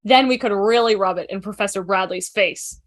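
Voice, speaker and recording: A woman's voice: medium pitch, normal speed, close to an everyday voice. A woman playing a nervous, eager, rambling young woman in an amateur table read.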